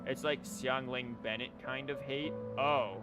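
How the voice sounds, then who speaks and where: Male game streamer, mid-pitched, casual rambling chat with music behind him. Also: fairly even intonation, unhurried. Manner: rambling, conversational.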